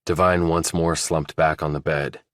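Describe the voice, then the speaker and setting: A man's voice: rumbling low, little pitch movement, moderate pace, fairly bright tone, dry recording, clean studio sound. A man reading scripted narration from a crime thriller as an audiobook narrator.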